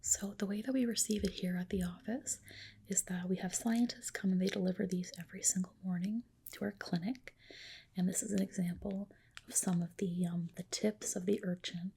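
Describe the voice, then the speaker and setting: Female ASMR performer, breathy whisper with a mellow tone, reassuring clinic-roleplay delivery. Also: medium pitch, fairly even intonation, fairly quick. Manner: soothing, reassuring clinician addressing a patient.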